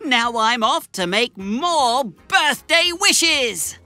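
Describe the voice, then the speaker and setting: A woman's voice: fairly high pitch, lively intonation, very slow, sharp tone, exaggerated voice. A woman voicing a cheerful, eager young boy train in a children's cartoon, bouncy and earnest. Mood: excited. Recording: music under the voice.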